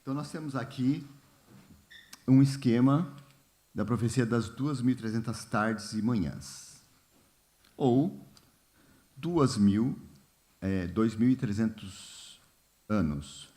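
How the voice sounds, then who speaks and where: A man leading a church Bible study in a relaxed, informal way, often pausing with fillers and asking the congregation questions. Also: medium pitch. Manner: unhurried, many fillers and "né?", asks the congregation questions. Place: church hall.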